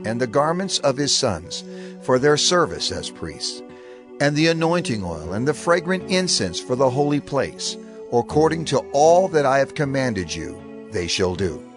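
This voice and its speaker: Lively-pitched man reading scripture solemnly. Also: normal speed, music in the background. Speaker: scripture reader.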